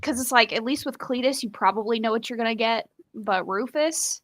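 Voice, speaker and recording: A woman's voice: on the high side, fairly quick, fairly bright tone, no echo. A woman co-hosting a casual book discussion on a live stream, giving blunt, informal opinions. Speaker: co-host of a book readalong live show.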